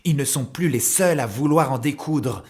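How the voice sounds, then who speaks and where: A man's voice: mid-range voice, normal speed, a little mellow, breathy edge. A man narrating a children's cartoon story as a playful storyteller in scripted style. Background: music, plucked guitar.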